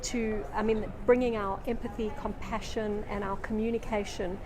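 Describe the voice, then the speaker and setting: A woman's voice: mid-range voice, level tone, moderate pace. A middle-aged woman with a South African accent, a leadership coach speaking earnestly in long fluent sentences in an event interview. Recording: noisy event floor.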